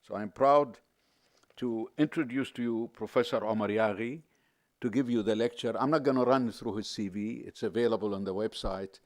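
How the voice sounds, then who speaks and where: Low-pitched male host, quick informal intro, dry mic. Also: a little mellow, no echo. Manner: brief, informal, addresses guest directly. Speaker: event host introducing speaker. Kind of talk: formal introduction.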